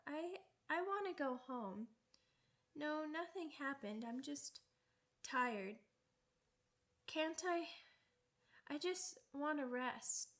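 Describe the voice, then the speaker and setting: Woman voicing a high-pitched childlike werewolf pup. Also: expressive, fairly bright tone, exaggerated voice. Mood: dejected. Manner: childish wording, halting, repetitive, blurting. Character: childlike young werewolf pup, excitable, stubborn, orphaned from pack. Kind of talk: ASMR character roleplay audio drama.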